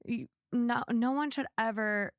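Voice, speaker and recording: A woman's voice: on the high side, a little slow, no room sound, studio quality. A woman hosting a podcast, chatting casually and giving her opinions in an emphatic, outspoken way. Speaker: podcast host.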